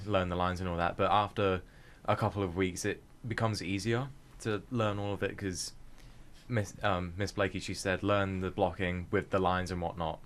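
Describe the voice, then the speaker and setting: A man's voice: low voice, a bit bright. A young man, a drama student answering casually in an interview. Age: young adult.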